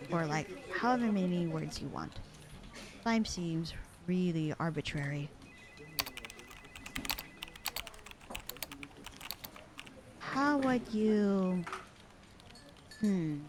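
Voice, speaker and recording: Woman acting a low-voiced, bored, sarcastic secretary. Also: noisy background. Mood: unimpressed, sarcastic. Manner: deadpan, slangy, unbothered drawl. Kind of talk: roleplay ASMR audio drama, casual conversational delivery.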